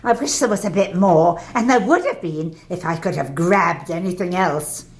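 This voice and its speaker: A woman's voice: lowish voice, lively intonation, normal speed, natural voice. An actress in a community radio play, playing a brazen, callous charwoman with a colloquial, gossiping way of talking. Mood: scornful. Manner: colloquial, indignant, swooping.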